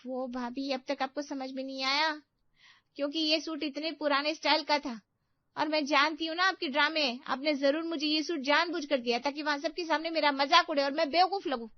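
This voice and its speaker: A woman voicing an angry, accusing young college girl, scolding in a shrill, indignant way, in a story. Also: steady tone, a little fast, no echo, lo-fi sound, natural voice.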